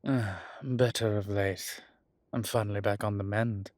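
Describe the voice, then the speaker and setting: A man playing a centuries-old, self-assured vampire in an audio drama, husky, with a dry, wry manner. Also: lowish voice, fairly even intonation, a little slow, a little mellow, no echo, natural voice. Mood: amused.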